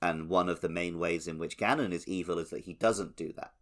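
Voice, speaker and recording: A man's voice: low-pitched, fairly quick. A man co-hosting a podcast, talking casually about video games. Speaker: podcast co-host.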